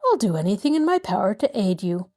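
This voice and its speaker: A woman voicing a courteous middle-aged male lawyer who speaks in a measured, reassuring way. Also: medium pitch, sing-song, mellow. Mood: calm.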